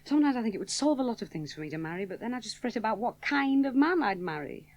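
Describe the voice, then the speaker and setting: A woman's voice: on the high side, animated, fast talker, a little mellow, muffled recording, close to an everyday voice. An actress playing a modest, self-doubting young woman aviator in a radio play, speaking hesitantly. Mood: doubtful. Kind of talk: 1970s radio drama acting.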